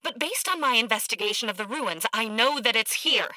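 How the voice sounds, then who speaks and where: An actress voicing an eccentric, arrogant woman scientist in a video game, blunt and socially awkward, with clipped, precise, deadpan delivery. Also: on the high side, fairly quick, no room sound, rough recording. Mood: obsessive, earnest.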